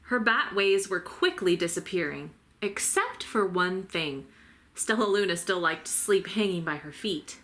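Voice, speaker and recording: Lady teacher reading a kids book out loud, bright voice, kinda slow, very animated. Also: fairly low pitch. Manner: deliberate, dramatic storytelling. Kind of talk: expressive children's storybook narration.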